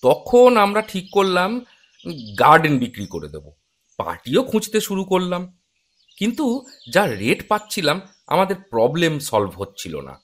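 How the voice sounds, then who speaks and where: A polite male estate manager, played by an actor, explains himself in short halting phrases. His pitch moves in lively swings, in audio drama style. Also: exaggerated voice. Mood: explaining.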